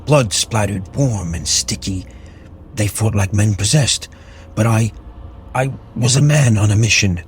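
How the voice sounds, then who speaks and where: A man's voice: fairly low pitch, measured pace, slight background noise, close to an everyday voice. A man playing a haunted, battle-hardened army captain, narrating occult horrors in a brooding, theatrical way with clipped dramatic phrases in an audio drama. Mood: violent, intense. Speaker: voice actor.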